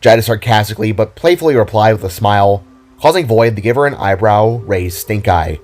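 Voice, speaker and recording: A man's voice: on the low side, lively intonation, brisk, darkish tone, slight background noise. A man narrating a scripted audio drama in a dramatic storytelling style.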